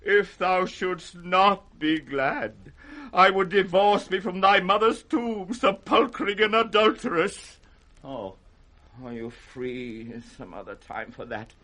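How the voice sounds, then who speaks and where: Wrathful old king, high-pitched. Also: takes it slowly, pushed and bright, full character voice. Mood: bitter grief. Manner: grand, volatile, swinging from thunder to broken murmurs. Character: aging wrathful king, proud, wounded and unravelling. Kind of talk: 1960s British radio Shakespeare acting.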